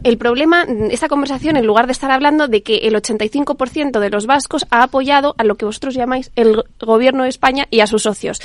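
A woman's voice: fairly high pitch, no echo. A woman on a political debate panel, arguing firmly and pushing back against interruptions. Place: studio debate table.

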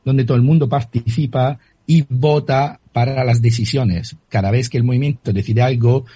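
A man's voice: medium pitch, steady tone, moderate pace, dark tone, husky, no echo. A man from Italy with an Italian accent, a guest on a radio interview who often repeats words while searching for the right term.

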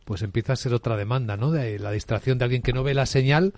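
A man's voice: mid-range voice, fast, a little mellow, no room sound. A man hosting a radio business interview, explaining points and ending them with "¿no?". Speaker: radio host.

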